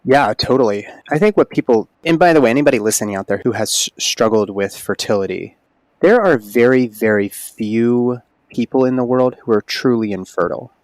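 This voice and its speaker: A man's voice: mid-range voice, fairly quick, no echo, low-quality recording. A man speaking as a holistic OB-GYN guest on a podcast, explaining earnestly in casual conversation. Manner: earnest, explanatory, animated emphasis.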